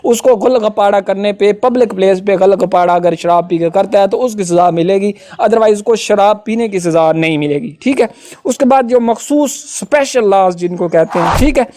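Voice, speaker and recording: A man's voice: high voice, moderate pace, warm tone. A man with a Pakistani accent giving an informal explanatory law lecture, often adding little tags to check that listeners follow.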